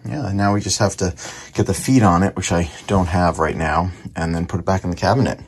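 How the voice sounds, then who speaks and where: A man's voice: low voice, fairly quick, breathy edge. A man giving casual hands-on commentary as a hobbyist restoring an old radio, murmuring short remarks as he works. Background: clicks and an antique radio playing.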